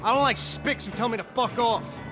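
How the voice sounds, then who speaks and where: An actor playing a hot-headed, bullying American mobster in a video game, threatening and foul-mouthed. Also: male voice, very high-pitched, fairly quick. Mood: hostile. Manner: aggressive, threatening, profane. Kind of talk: video game villain acting. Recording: loud music behind the voice.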